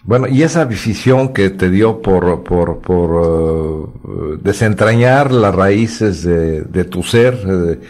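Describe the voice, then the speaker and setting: A man hosting a radio interview in a studio, framing his questions haltingly and repeating words as he goes. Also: deep voice, darkish tone, faint music behind. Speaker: radio host.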